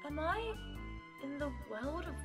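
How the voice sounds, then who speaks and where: A woman's voice: sits high, very animated, measured pace, over music. A woman voicing a frightened but defiant young girl in a fan audio drama. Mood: hesitant.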